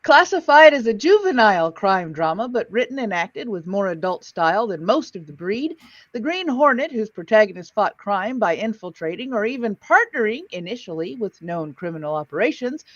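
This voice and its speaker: Woman host with lively, swinging pitch. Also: normal speed, no echo, muffled recording. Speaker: host of an old-time radio show listening stream. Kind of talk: reading show introductions, casual commentary.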